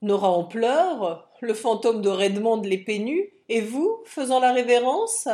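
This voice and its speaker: A woman voicing a boisterous young Irish gentleman in an audiobook, hearty, bombastic and quick to outrage. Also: a little high, lively intonation, voice pushed up. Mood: boisterous surprise. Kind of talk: audiobook character acting.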